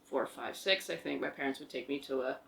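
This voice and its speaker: An American woman co-hosting a casual podcast, playful and joking, quick to laugh. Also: extremely low pitch, vocal fry, a bit bright, slight background noise. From United States. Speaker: podcast co-host. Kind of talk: casual chat.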